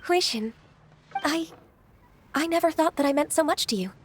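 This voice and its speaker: A woman playing a gentle, dutiful, ageless secretary, polite and formal, in an anime video game. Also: sits high, expressive, normal speed, slight background noise. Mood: moved, wistful.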